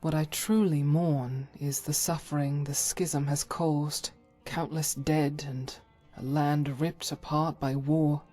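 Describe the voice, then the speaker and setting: Casting: actress for grave, pious female game follower, low voice, formal and measured, faint music underneath. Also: fairly even intonation, dark tone. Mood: solemn. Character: devout, grave female warrior companion, principled and formal. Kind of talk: scripted fantasy game dialogue.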